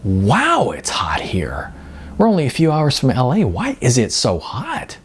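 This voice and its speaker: A man's voice: mid-range voice, wildly swooping pitch, unhurried, a little hiss or hum. A man voicing a dramatic, playful young woman in an audiobook, with exaggerated, informal delivery. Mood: complaining.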